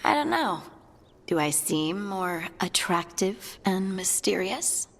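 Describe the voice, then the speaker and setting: A woman's voice: mid-range voice, very animated, slow pace, vocal fry, a bit bright, long reverb tail, full character voice. A woman playing an earnest, plucky young scout in a fantasy video game, with a conversational manner. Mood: wry, playful. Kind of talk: naturalistic video game acting.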